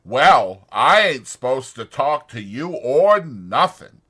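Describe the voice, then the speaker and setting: A man voicing a huge, childlike, simple-minded man in an audiobook, slow and plaintive with folksy uneducated speech. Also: mid-range voice, sing-song, pushed and bright, slightly rough, voice pushed up, exaggerated voice. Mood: sullen, stubborn. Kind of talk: dramatized audiobook character acting.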